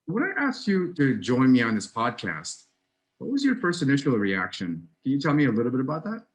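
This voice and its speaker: A man in his thirties from Russia, a psychologist hosting the podcast, speaking in a measured way with many pauses. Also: medium pitch, very animated, low-quality recording. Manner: measured, many fillers and restarts. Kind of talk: casual interview chat.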